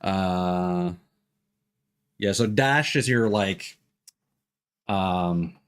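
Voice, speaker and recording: A man's voice: deep voice, very slow, clear, resonant tone. A man streaming a video game, chatting informally, thinking aloud and reading on-screen text. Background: game music and sound effects.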